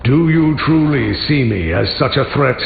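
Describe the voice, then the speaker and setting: A man playing a proud, aging mutant leader in a video game, speaking formally and theatrically with deliberate, grandiose phrasing. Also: medium pitch, expressive, creaky, narrow, tinny audio. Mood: dry, imperious. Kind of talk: video game character dialogue.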